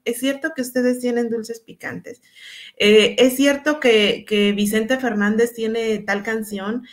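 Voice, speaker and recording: A Mexican woman, a school supervisor, reflecting earnestly in a panel conversation, often pausing and restarting. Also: fairly high pitch. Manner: earnest, many fillers and restarts.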